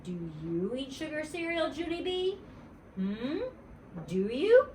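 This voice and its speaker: A woman voicing a boastful, nosy little schoolgirl in a storybook, excitable and childish. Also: sits high, slow pace, a little hiss or hum, pitched above the performer's own voice, full character voice. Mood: suspicious, nosy. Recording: roomy echo, distant mic.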